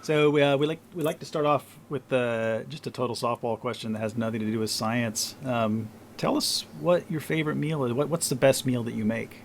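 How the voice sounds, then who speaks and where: A man co-hosting a science podcast, chatty and quick to laugh, peppering his talk with fillers. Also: mid-range voice, fairly even intonation, gravelly. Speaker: podcast co-host. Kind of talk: casual interview talk.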